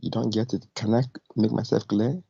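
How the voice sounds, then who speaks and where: A man's voice: lowish voice, fairly even intonation, moderate pace, creaky, warm tone, breathy edge, no room sound. A man from Nigeria, calling in to an argumentative debate, explaining his position firmly in formal words over a muffled connection. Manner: firm, explanatory, formal wording. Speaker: debate caller. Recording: muffled lo-fi call, dry, clicks.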